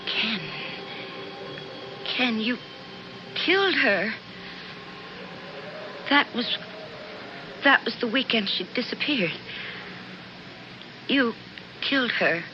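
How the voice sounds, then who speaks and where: A woman acting in a 1970s radio drama as a betrayed wife, stunned and bitter, halting and repeating her words. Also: a little high, animated. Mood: stunned horror. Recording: noisy, muffled 1970s radio broadcast.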